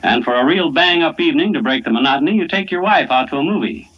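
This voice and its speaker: An actor playing a world-weary, down-to-earth middle-aged husband in a 1940s radio suspense play, speaking in a plain, folksy way on an old recording. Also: male voice, fairly high pitch, animated, fast, muffled recording. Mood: casual. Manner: plain-spoken, folksy narration and dialogue.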